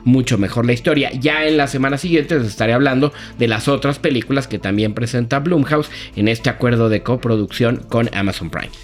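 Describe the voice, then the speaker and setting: A man's voice: mid-range voice, fairly quick, hardly any pauses. A man with a Mexican accent giving a casual, colloquial review as a critic on a talk show, telling plots like gossip. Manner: colloquial, gossipy storytelling with slang.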